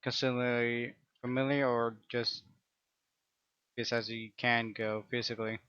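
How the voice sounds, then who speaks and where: A man's voice: medium pitch, level tone, measured pace, fairly bright tone, clear, resonant tone, no echo, lo-fi sound. A man casually chatting with his viewers and a guest while he streams a game, keeping his replies short and laid-back. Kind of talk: casual chat while gaming.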